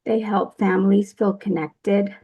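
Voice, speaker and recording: A woman's voice: mid-range voice, fairly even intonation, a little slow, slightly rough, phone-quality sound. A woman panelist, speaking earnestly about her organization's workforce mission. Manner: earnest, advocating. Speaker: employer panelist.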